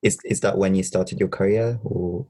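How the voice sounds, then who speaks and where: A man's voice: sits low, fairly even intonation, normal speed, warm tone, slightly rough. A man hosting a podcast interview, asking questions hesitantly with many fillers and stalls. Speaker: podcast host. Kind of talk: casual interview.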